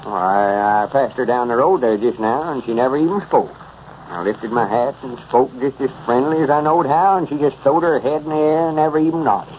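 A self-important old country man, played by a male actor, with a rural Southern accent and a middling pitch. He sounds folksy and pompous in 1930s radio comedy style. The recording is muffled and noisy. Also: brisk, close to an everyday voice. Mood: indignant.

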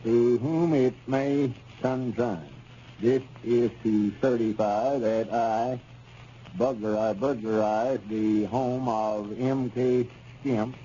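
A man's voice: medium pitch, steady tone, takes it slowly, warm tone, natural voice. An actor playing an exasperated, folksy storekeeper with a rural Arkansas Southern accent, fussing at his partner in a 1930s radio serial. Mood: halting read-out. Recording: dull, noisy 1930s radio recording.

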